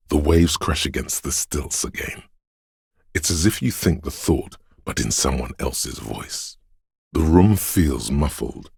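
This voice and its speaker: An extremely deep, gravelly brooding city spirit. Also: male voice, dry recording, clean studio sound, exaggerated voice. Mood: brooding, intimate. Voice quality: gravelly, husky bass. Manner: solemn, hushed, deliberate poetic delivery. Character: brooding poetic disembodied voice of a windswept city. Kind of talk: dramatic scripted game narration.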